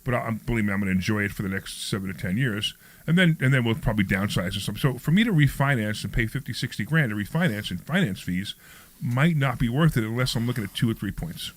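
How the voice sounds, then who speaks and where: A man's voice: lowish voice, expressive, fast, dry recording. The man in his sixties you'd hear holding court on a weekend call-in show, rattling along jokily and looping back over his points in a dry studio. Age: early 60s. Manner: rambling, repeats himself, jokey. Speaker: radio show host and real estate investor. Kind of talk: casual chat on a talk show.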